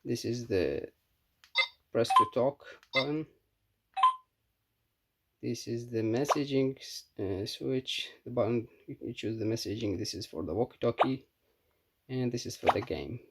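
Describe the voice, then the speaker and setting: A man giving a casual, unscripted hands-on product review in a halting, matter-of-fact way. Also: medium pitch, vocal fry, slightly rough.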